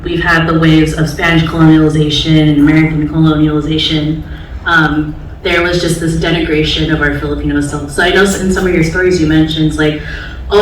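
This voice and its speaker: Earnest woman presenting in an echoey hall. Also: low-pitched. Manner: earnest, explanatory, halting. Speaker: panelist presenter. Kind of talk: presentation talk. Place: large auditorium stage. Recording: echoey hall from far off, faint noise.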